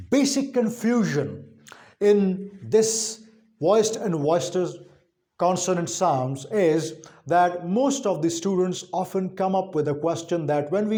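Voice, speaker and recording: A man giving an instructional phonetics lesson as a lecturer, explaining in a deliberate, emphatic way and repeating key points. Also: high-pitched, mellow. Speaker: linguistics lecturer.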